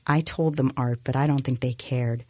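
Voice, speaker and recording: A woman's voice: a bass voice, steady tone, moderate pace, warm tone, dry recording. A woman reading a novel aloud as a defensive, wry female TV news anchor, stubborn and matter-of-fact. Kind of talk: audiobook-style novel reading.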